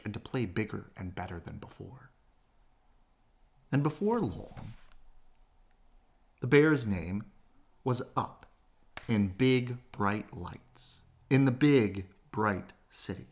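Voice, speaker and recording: A male storybook reader with a slightly low voice. His pitch moves expressively over a wide range. He takes a very unhurried pace, drawing phrases out dramatically for young listeners. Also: darkish tone. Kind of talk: expressive bedtime read-aloud narration.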